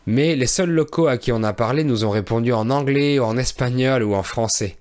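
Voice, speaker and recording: A man in his thirties from France, a teacher and podcast host, telling a travel story for language learners in a clear, measured way with soft fillers, recorded close on a studio mic in a small room. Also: mid-range voice. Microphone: studio microphone on a boom arm, close.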